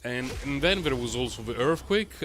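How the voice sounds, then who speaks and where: A man livestreaming a game, talking in a rambling, chatty way full of fillers, his voice a little low and rough from a recent cold. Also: lively intonation, normal speed, a bit bright. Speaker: game streamer. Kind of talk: casual live-stream gameplay commentary.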